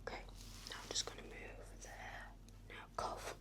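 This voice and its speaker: A woman speaking in a gentle, breathy, mostly whispered voice, playing a reassuring nurse in a soft-spoken ASMR medical roleplay. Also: a little slow. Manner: gentle, reassuring bedside manner. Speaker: ASMR performer playing a home-visit nurse.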